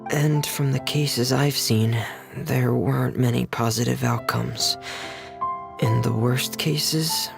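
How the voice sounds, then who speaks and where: A man voicing a gentle, reserved teenage boy in a video game, hesitant, soft-spoken and careful. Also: mid-range voice, steady tone, slow, creaky, over music. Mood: grave.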